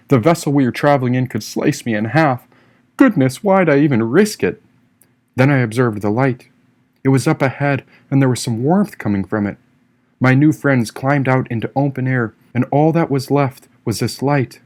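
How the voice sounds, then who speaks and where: A man reading a first-person story as an audiobook narrator, expressive and theatrical in his delivery. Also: mid-range voice. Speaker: author reading own audiobook.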